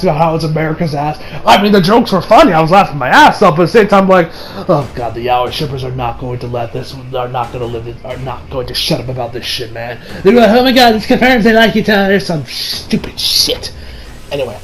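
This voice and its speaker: High-voiced young man, casual review chat. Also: brisk. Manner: excitable, profane, rambling. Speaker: video reviewer. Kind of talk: casual movie review chat over gameplay.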